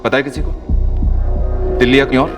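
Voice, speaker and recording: An actor playing a tough, sarcastic police officer who gives orders and threats in a TV crime drama. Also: male voice, mid-range voice, fairly even intonation, fairly quick, far from the mic, natural voice. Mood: firm, authoritative. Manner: commanding, menacing, sarcastic. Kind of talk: dramatised crime reenactment acting. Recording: off-mic with background music.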